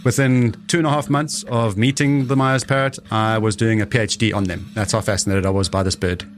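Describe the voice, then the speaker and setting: A middle-aged man with a South African accent, telling his own story reflectively, pausing for emphasis. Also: fairly low pitch, fairly even intonation, faint music behind. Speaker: conservationist and researcher, interviewee. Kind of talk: personal storytelling in an interview.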